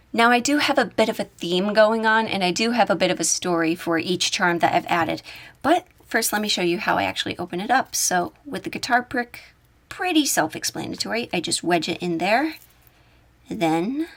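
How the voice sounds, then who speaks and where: A woman with a mid-pitched voice gives a casual show-and-tell. She is chatty and enthusiastic, talking like a video creator walking viewers through a personal collection. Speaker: YouTube audio creator showing her collection.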